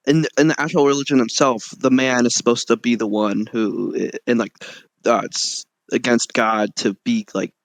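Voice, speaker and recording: Young man, gravelly voice, hesitant fillers, dry close sound. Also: medium pitch, steady tone, normal speed, no room sound. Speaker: podcast co-host. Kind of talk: casual chat.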